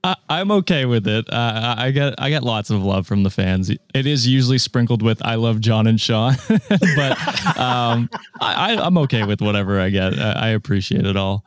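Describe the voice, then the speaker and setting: Monotone male co-host, smooth voice. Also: fairly low pitch, normal speed, few pauses. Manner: self-deprecating, stammering. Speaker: podcast co-host reading reviews. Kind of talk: casual chat.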